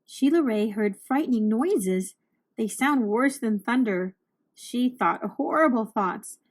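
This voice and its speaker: A woman reading a children's storybook aloud, narrating with deliberate, expressive phrasing for young listeners. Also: on the high side.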